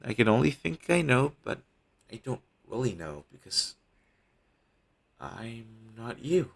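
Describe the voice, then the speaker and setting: A man playing a devoted, apologetic talent agent in an audio roleplay, earnest and hesitant, with a husky, gravelly voice on a low-quality amateur recording. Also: very animated, unhurried, full character voice. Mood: regretful, tender. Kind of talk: scripted audio roleplay, intimate one-sided dialogue.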